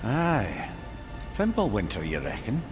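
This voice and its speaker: An actor with a Scottish accent playing a wry, learned older companion who tells stories drily in a video game. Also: male voice, medium pitch, huge pitch swings, unhurried, music in the background. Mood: dry, explanatory. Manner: dry, wry, storytelling. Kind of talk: video game dramatic dialogue.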